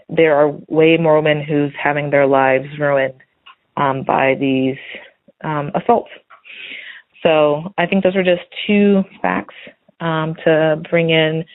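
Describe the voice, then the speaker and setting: An American woman leaving a casual voicemail as a listener calling in, earnest and a little hesitant as she thinks aloud. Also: low voice. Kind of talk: casual spoken voicemail commentary. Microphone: phone. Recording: muffled phone-line voicemail.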